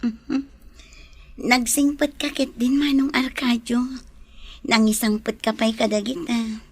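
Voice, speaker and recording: Actress as kindly mistress of the house, Ilocano accent, raised pitch, husky, audio drama. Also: female voice, level tone, a little slow, a little mellow. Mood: appreciative. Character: kind, trusting lady of the house.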